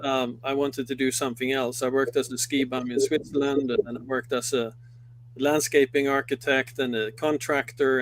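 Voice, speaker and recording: A middle-aged man from Sweden with a Swedish accent, a company head recounting his life in a live interview, halting and full of fillers and restarts. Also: medium pitch, a little hiss or hum. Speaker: guest, company CEO. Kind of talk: live interview, personal story.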